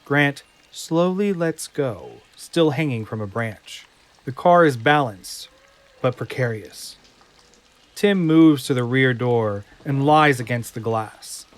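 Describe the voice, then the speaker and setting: A man's voice: mid-range voice, animated, slow. A man narrating a scripted audio drama, describing the action as it unfolds.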